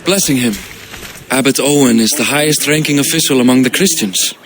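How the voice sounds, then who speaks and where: A worldly king from a historical video game, played by a man, explaining things in a measured way. His voice sits at a middle pitch. Clear background noise surrounds it. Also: lightly acted. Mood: measured, knowing. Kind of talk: cinematic video game acting. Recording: noisy ambience behind the voice.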